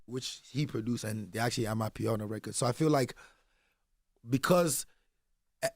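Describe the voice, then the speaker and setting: A man's voice: medium pitch, moderate pace, dry recording. Easygoing Nigerian man, dry studio sound. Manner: loose, rambling, with constant "you know what I'm saying". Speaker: guest musician. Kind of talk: casual interview chat. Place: radio studio. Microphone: studio microphone.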